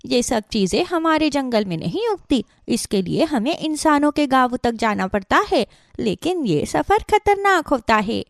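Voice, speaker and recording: A woman voicing a kind, helpful female rabbit in a children's animal tale, gentle, encouraging and expressive. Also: sits high, measured pace, creaky, few pauses, dry recording, studio quality, heavily acted. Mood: cautioning. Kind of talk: children's cartoon storytelling.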